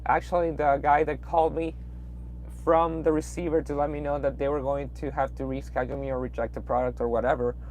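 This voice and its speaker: A man's voice: on the high side, little pitch movement, brisk, a little mellow, a little hiss or hum. A young man from Peru with a Peruvian Spanish accent, chatting casually on a late-night livestream from inside his truck cab, rambling with lots of fillers and restarts. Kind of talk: casual livestream chat reading viewer comments.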